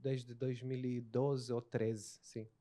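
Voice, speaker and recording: A man with an Angolan Portuguese accent, an author talking as a guest in a relaxed interview, animated and often voicing other people in quick asides. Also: medium pitch.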